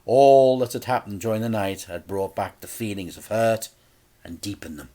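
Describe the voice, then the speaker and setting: A man reading a children's story aloud in a deliberate, dramatic way with suspenseful pauses. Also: fairly low pitch, warm tone.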